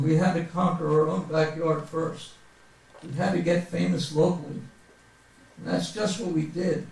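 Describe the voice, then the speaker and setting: High-pitched, creaky man in echoey room. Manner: mock-grandiose comic storytelling. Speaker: author reading from autobiography. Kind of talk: humorous memoir reading to a live audience. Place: bookstore reading event before an audience. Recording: very echoey room, distant mic.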